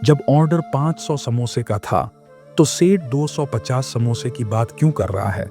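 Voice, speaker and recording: A man's voice: mid-range voice, unhurried, dark tone, soft background music, lightly acted. A man voicing a scheming, envious shopkeeper in a children's cartoon, with a calculating, grumbling manner. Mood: puzzled. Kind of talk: Hindi children's moral-story cartoon dub.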